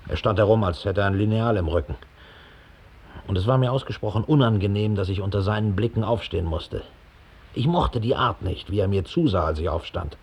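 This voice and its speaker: A hulking middle-aged fanatic gone to seed in a radio drama. His voice is low and rough with huskiness. He tells his story with sour contempt and a swollen sense of his own importance. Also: brisk, darkish tone. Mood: resentful. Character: big, slovenly, resentful old Nazi underground operative. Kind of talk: radio drama monologue, police statement. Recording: 1960 studio radio play.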